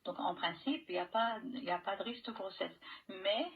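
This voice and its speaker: Gravelly-voiced woman doctor on shortwave radio. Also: mid-range voice, a little fast, a crowd in the background. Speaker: doctor, expert guest on a radio call-in. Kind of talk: explaining medical advice in conversation. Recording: dull, lo-fi shortwave radio broadcast.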